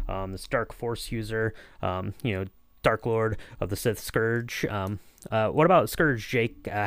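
Clear, dry-sounding male podcast host. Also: fairly low pitch, no echo. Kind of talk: casual discussion, recapping a story.

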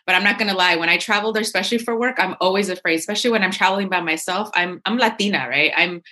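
A woman's voice: medium pitch, racing, pushed and bright. A woman in her forties from California, a guest on a panel discussion, talking earnestly and animatedly with frequent restarts. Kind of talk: candid discussion.

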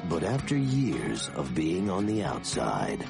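A man's voice: very deep voice, wildly swooping pitch, takes it slowly, vocal fry. A man announcing a film trailer in a dramatic, scripted narration style. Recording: loud music under voice. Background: loud trailer music.

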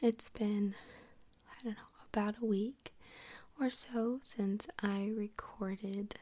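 A woman hosting soft-spoken ASMR in a whispery, breathy voice, rambling gently and unhurriedly close to the microphone. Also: mid-range voice, steady tone, slow pace. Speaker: host of an ASMR podcast. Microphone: close USB microphone.

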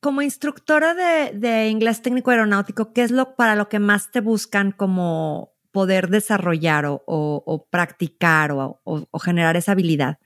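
A woman's voice: medium pitch, normal speed, hardly any pauses, dry recording, studio quality. A woman with a Mexican Spanish accent, casually putting questions to a guest as a podcast host.

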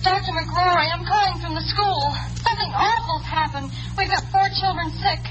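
A distraught woman caller in a vintage radio play. She is panicky and extremely high-pitched, with music clearly audible in the mix. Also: normal speed, muffled recording. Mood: panicked. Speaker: actor. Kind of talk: old-time radio drama acting.

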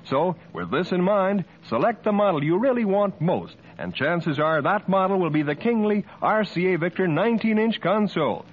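Male American commercial announcer, high lively pitch, polished scripted pitch in vintage radio style, slightly dull audio. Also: normal speed, few pauses. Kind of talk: scripted 1940s radio advertising read. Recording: muffled old radio recording.

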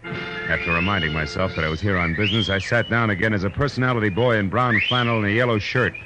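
World-weary American male private eye, acted, lowish voice, deadpan noir narration with music clearly underneath. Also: fast, lightly acted, poor audio. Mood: wry, weary. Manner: wry, deadpan, punchy. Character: wry, world-weary hard-boiled male private detective. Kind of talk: 1940s radio noir first-person narration. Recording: old radio drama, music underneath.

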